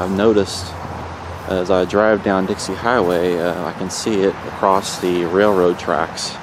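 A man with a Kentucky accent narrating his exploration slowly and hesitantly, recorded on a handheld camera outdoors in overgrown fields. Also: sits low. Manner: slow, hesitant, with fillers. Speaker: urban explorer vlogger. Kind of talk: casual vlog narration. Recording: outdoor camera audio with clear background noise.

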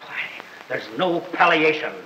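Bright, pompous prosecutor in an old film. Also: male voice, a little high, expressive, unhurried. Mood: accusing, theatrical. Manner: declamatory, oratorical. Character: pompous, accusing small-town district attorney, a man. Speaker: actor playing a prosecutor. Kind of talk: old film courtroom drama acting. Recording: dull, roomy old film soundtrack, faint noise.

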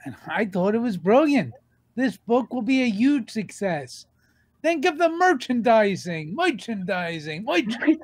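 A man voicing an enthusiastic, smooth-talking cartoon literary agent with an emphatic salesman's delivery. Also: high-pitched, very animated, a little slow, no room sound, heavily acted. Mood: eager pitching. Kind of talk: comic dub voice acting.

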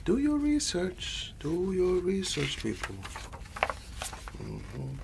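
Man playing a gravelly old Spanish-accented grandpa. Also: high-pitched, huge pitch swings, slow, darkish tone, slight background noise, pitched above the performer's own voice, exaggerated voice. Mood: wry. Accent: Spanish-accented English. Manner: rambling, repeats phrases, slips between English and Spanish. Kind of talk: ASMR bedtime storytelling roleplay.

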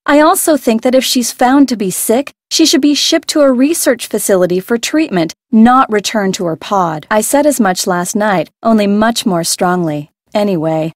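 An actress playing a frank, stubborn adult boat owner in a video game, talking in a matter-of-fact way. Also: female voice, medium pitch, darkish tone, dry recording, clean studio sound, natural voice. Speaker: game voice actor.

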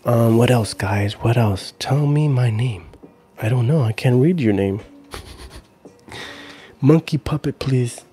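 A man's voice: mid-range voice, slow pace, long pauses, warm tone. Laid-back man chatting on a livestream. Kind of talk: casual livestream chat. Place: small room at home. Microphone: handheld-style recorder on a stand, close up.

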